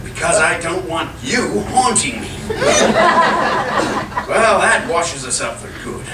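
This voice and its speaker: An actor playing an exasperated husband in old-time radio comedy style, incredulous and theatrically emphatic. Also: male voice, fairly high pitch, big pitch swings, slow pace, recorded from a distance. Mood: resigned, annoyed. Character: exasperated husband trying to sell a house. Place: hall at a lodge, live performance. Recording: echoey, noisy live stage recording from afar.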